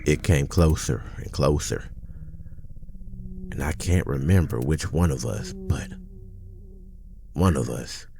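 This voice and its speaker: A man playing an older small-town man, telling an eerie tale in a slow, folksy ASMR horror roleplay with deliberate pauses. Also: dark tone, slight background noise, full character voice. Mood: haunted, dread-filled. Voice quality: very deep, gravelly.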